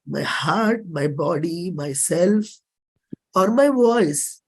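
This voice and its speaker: A performer with an Indian accent and a husky voice, giving a dramatic, deliberate reading of a personal play script. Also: medium pitch, lively intonation. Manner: measured, deliberate, theatrical phrasing.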